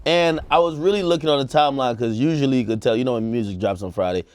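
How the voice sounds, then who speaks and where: A man's voice: mid-range voice, fast, darkish tone, no echo, studio quality. A man co-hosting a casual music discussion show, hedging and qualifying his opinions as he talks. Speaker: talk show co-host.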